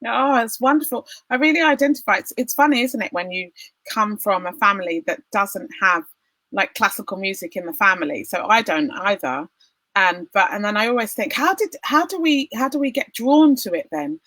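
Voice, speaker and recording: Bright, bubbly female interviewer; dry, close video-call audio. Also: medium pitch, expressive, normal speed, no echo. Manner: bubbly, laughing often, emphatic. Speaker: podcast host and opera singer.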